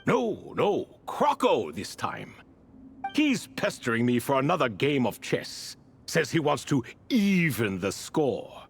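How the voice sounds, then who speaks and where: An actor playing a proud, wistful and wryly smug man in a video game, speaking in an unhurried, theatrical way. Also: mid-range voice, huge pitch swings, full character voice. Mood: amused boasting. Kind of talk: dramatic video game voice acting. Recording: music under the voice.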